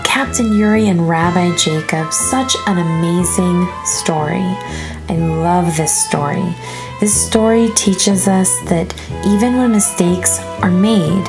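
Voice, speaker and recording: A woman reads a children's story aloud as its narrator. Her voice is a little low and expressive, with music playing underneath.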